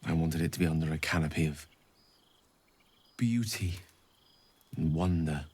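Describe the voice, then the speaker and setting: A man's voice: rumbling low, measured pace, slightly rough, heavily acted. An actor playing an eloquent, learned male wizard in a video game, speaking in articulate, tender, formal phrasing. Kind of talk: game voice acting. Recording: game audio with soft music behind.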